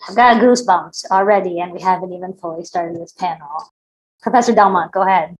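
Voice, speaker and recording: A woman's voice: on the low side, brisk, lo-fi sound. A woman moderating a panel discussion, putting open questions to her guests.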